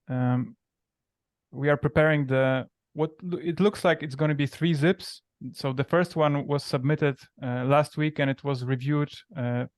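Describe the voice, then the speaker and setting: Smooth-voiced Polish man, clean dry sound. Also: medium pitch, dry recording. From Poland. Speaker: software engineer. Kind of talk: informal technical update. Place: video call.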